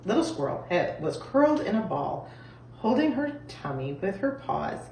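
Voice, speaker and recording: Expressive woman reading, very lively pitch. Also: moderate pace. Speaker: storybook reader. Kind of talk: expressive children's story reading. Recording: echoey room, distant mic, faint noise.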